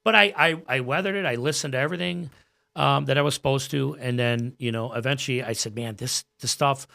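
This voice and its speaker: A man's voice: medium pitch, brisk, creaky, sharp tone. An American man in his forties chatting casually as a podcast guest, earnest and emphatic, often repeating his phrases. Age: 40s. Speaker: podcast guest, gym owner and coach.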